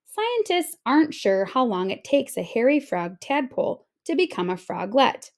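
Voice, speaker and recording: Animated woman reading aloud to children. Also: mid-range voice, vocal fry. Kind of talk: expressive informational read-aloud of a children's nonfiction book.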